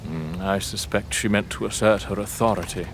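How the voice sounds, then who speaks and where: A man's voice: fairly low pitch, fairly even intonation, moderate pace, slight background noise, lightly acted. A man acting a haughty, sceptical manor lord in a period audio drama, with pompous, commanding and formal diction. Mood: concerned, indignant.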